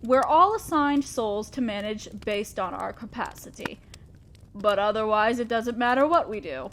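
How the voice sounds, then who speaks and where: A woman's voice: fairly high pitch, moderate pace, a bit bright, slight background noise. A woman playing a fair-minded but ambitious demon lord boss in a scripted audio drama, speaking formally and directly to an underling, with a sly teasing streak. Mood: ambitious, businesslike.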